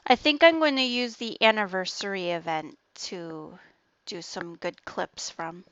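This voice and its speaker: A woman's voice: medium pitch, a little slow, a bit bright, clean tone, no room sound, highs cut off. A woman with an Ontario Canadian accent chatting casually while streaming a game. She laughs often and trails off mid-sentence.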